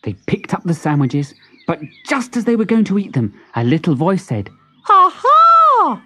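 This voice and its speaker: A woman reading a children's story expressively and deliberately, with dramatic pauses. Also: deep voice, sing-song, very noisy.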